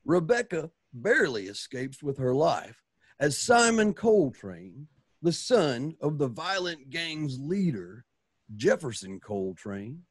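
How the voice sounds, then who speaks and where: A man's voice: fairly high pitch, no echo, heavily acted. Theatrical Western radio serial announcer played by a man. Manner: theatrical, deliberate, with swelling emphasis. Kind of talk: scripted radio drama narration.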